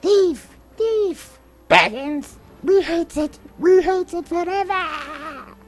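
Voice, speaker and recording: A wretched storybook cave creature, fearful and full of spite. The voice hisses and whispers in slow, halting, broken-grammar mutters that repeat themselves. Its pitch lurches widely, climbing far up from a natural male register, over faint music. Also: animated, full character voice. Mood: shrieking hatred. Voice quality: hissing, whispery. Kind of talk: dramatic storybook reading.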